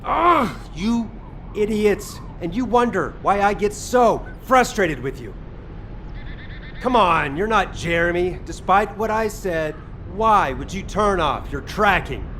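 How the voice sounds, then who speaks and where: Brash, animated young soldier. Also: male voice, sits high, takes it slowly, a little hiss or hum, full character voice. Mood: exasperated. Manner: brash, informal, teasing. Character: cocky, exasperated young male soldier who loves cooking. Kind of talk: video game voice acting.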